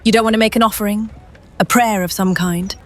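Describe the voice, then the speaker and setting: A woman's voice: mid-range voice, steady tone, brisk, lightly acted. A woman playing a blunt but concerned adventurer heroine in a fantasy game story.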